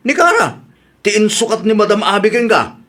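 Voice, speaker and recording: A man's voice: high-pitched, sing-song, moderate pace, pushed and bright, full character voice. An actor with an Ilocano accent playing a stern, reproachful man in a radio drama. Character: stern man confronting a relative over wrongdoing.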